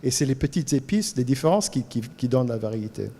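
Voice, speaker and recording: Man, cajun french accent, animated talk, repeats words, echoey hall. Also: mid-range voice, quick pace. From Louisiana. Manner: animated, repeats words while searching for them. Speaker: colloquium speaker. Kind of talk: lively talk to an audience. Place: lecture hall.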